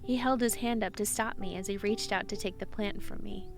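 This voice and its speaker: A woman's voice: mid-range voice, fairly even intonation, a little fast, gravelly, natural voice. A woman acting a shy, earnest druid, music underneath. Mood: dazed, soft. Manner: earnest, stammering when flustered in dialogue. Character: shy, eager young female druid, plant lover. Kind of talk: romantic audio drama, first-person narration and dialogue.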